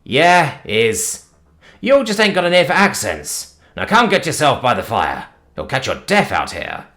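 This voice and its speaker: Male narrator doing an easygoing rural guy, hearty drawl, very lively pitch. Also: unhurried, exaggerated voice. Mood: cheerful. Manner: drawling country talk, hearty. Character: folksy friendly rural man, easygoing.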